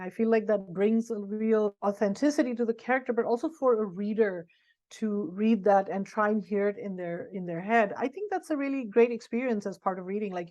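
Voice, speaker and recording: A woman's voice: mid-range voice, a little mellow. A middle-aged woman author answering interview questions in a frank, reflective way, thinking aloud as she goes. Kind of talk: candid conversational interview answers. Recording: dull lo-fi audio.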